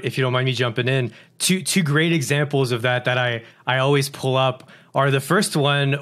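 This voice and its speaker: Male guest, 30s, from France; lively, quick, acts out quoted lines, broadcast-mic interview. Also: mid-range voice. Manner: animated, quick bursts, acts out quoted dialogue. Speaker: guest, company founder. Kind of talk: casual interview storytelling. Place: home studio with posters and lamp. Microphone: large broadcast mic on boom arm, over-ear headphones.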